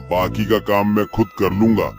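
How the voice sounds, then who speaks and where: A man voicing a sly, greedy fox minister in an animal cartoon, speaking in a calm, persuasive, deferential way. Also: lowish voice, moderate pace, faint music behind, lightly acted. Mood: scheming, persuasive. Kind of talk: animated animal fable dialogue.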